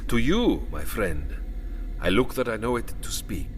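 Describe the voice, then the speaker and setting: An actor playing a courtly, grandiose old vampire count in an audio drama, speaking formally and savouring each phrase. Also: male voice, fairly low pitch, sing-song, measured pace, soft background music. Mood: grandiose, yearning.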